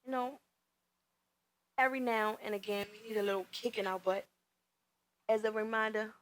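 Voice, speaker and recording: A woman acting a downhearted woman who is gathering her resolve in a dramatic monologue. Her voice is mid-pitched and confiding, with colloquial phrasing. The emphasis rises and falls unevenly from phrase to phrase. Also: steady tone, normal speed, lightly acted, poor audio. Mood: downcast, confiding. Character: downhearted woman steeling herself to keep going.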